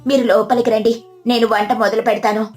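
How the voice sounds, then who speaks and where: A woman's voice: fairly high pitch, flat delivery, fairly quick, a little mellow, soft background music, close to an everyday voice. A woman voicing a devoted, affectionate poor village wife in a story, tender and humble.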